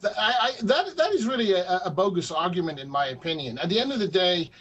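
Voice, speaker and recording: A man from Syria, a history professor, answers as a TV panel guest. His voice sits a little high, and he speaks earnestly, repeating words when he is pressed. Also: big pitch swings, fast, a bit bright, slightly rough, lo-fi sound.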